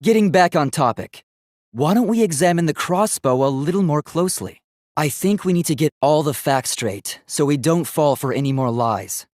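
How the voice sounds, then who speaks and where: A man's voice: fairly high pitch, animated, moderate pace, darkish tone, no echo, clean studio sound, natural voice. A man voicing a polite, earnest, logical young male robot in a video game, speaking formally and precisely. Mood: insistent, logical. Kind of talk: English video game voice acting.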